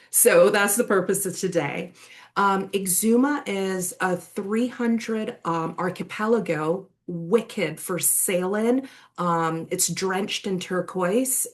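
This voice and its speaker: A woman raised in Barbados and England speaks in a slightly low voice. She presents as a resort sales director, pitching informally and effusively with colorful, colloquial phrases.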